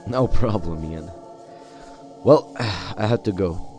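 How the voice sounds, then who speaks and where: Low-voiced, halting male gamer. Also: a little mellow. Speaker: gamer role-playing a game character. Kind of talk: improvised in-character game dialogue. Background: game music.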